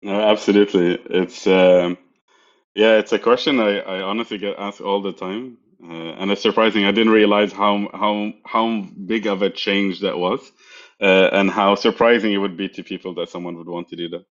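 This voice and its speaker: A man's voice: on the low side, fairly even intonation, moderate pace. Reflective man on dull lo-fi audio. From Egypt. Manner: reflective, with many fillers and restarts. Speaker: guest. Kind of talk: casual interview answers.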